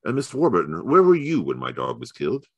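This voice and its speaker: Gentleman detective for radio mystery, played by a man: very low voice, lively inflection, shrewd, courteous, close dry sound. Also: slightly rough, no room sound, muffled recording, exaggerated voice. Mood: courteous, assured. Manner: measured, courteous, old-chap formality. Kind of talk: old-time radio mystery acting.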